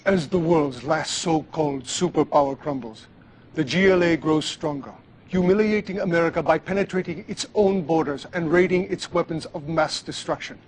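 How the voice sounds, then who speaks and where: An actor playing a solemn, boastful propagandist war reporter in a game, declaiming a scripted TV news report. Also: male voice, on the high side, normal speed, a crowd in the background, close to an everyday voice.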